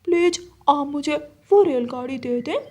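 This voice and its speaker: A woman's voice: sits high, moderate pace, dark tone, natural voice. A woman voicing a mischievous, greedy young male cartoon crow with a wheedling, childlike manner. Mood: eager. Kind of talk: cartoon story dialogue.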